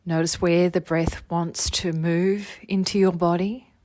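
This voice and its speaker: A woman leading a guided meditation as a teacher, giving gentle, soothing body-scan instructions in an unhurried way. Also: on the low side, level tone, no room sound. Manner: soothing, unhurried, gentle instruction with long phrases trailing softly.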